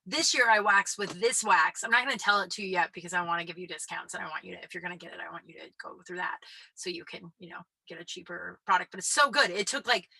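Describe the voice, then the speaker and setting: A woman's voice: fairly low pitch, quick pace, vocal fry, pushed and bright, breathy edge, no room sound, rough recording. A young woman hosting her own podcast, talking candidly and casually, swearing freely and using lots of filler words. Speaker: podcast host. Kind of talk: casual chat.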